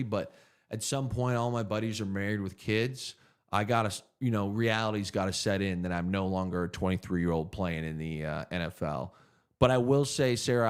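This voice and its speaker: A man's voice: on the low side, clean tone. A man in his thirties hosting a casual livestream Q&A, laid-back and joking as he thinks aloud through viewer questions. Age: 30s. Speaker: podcast host answering viewer questions.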